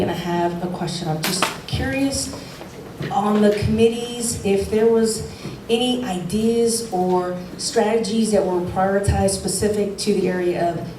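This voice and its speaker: A woman asking a question from the audience at a town hall meeting, hesitant and full of fillers. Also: medium pitch. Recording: echoey room from far off, noisy.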